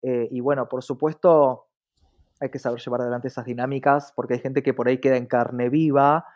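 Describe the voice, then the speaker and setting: A man's voice: medium pitch, fairly even intonation, brisk, darkish tone, dull audio. A man with an Argentine accent talking reflectively in a conversational interview, often checking in with short tag questions.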